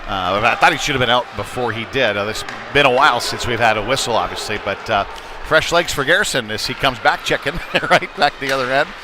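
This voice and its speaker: A man's voice: mid-range voice, brisk. Man calling live hockey over rink noise. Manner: clipped running phrases, excited on big plays. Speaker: play-by-play hockey commentator. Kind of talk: live sports commentary. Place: indoor ice rink during a game. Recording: clear rink noise behind the voice.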